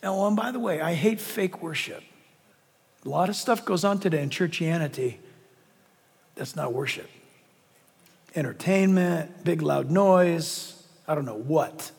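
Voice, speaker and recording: A middle-aged man preaching a sermon, reading scripture with emphasis and dropping into hushed asides. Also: a little high, unhurried, vocal fry, long pauses, husky. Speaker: pastor. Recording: long reverb of a large hall.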